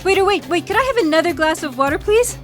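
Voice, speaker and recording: An actress playing an exasperated, teasing woman paleontologist in audio theatre, with an animated, complaining manner. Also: very high voice, soft background music. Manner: animated, complaining, quick to laugh.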